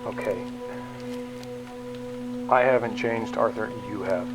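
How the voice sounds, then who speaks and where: A man's voice: fairly low pitch, fairly even intonation, slow pace. Man playing an aggrieved sci-fi mechanic, music behind. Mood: hurt, accusing. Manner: casual, conversational, argumentative. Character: frustrated young-ish male mechanic hurt by estranged best friend. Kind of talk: naturalistic sci-fi audio drama acting.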